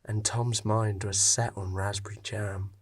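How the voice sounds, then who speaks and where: A man narrating a scripted story expressively, with dramatic pauses. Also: lowish voice, level tone, breathy edge.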